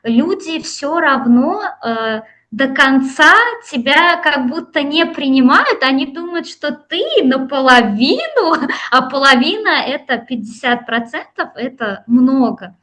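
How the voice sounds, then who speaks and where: High-voiced woman, reflective interview guest. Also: expressive, unhurried, pushed and bright. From Russia and Iran. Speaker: interview guest, Russian language teacher. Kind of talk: slow conversational interview.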